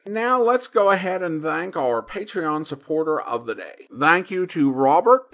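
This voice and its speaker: Male podcast host, fairly high voice, very lively intonation, casual and earnest listener-mail segments.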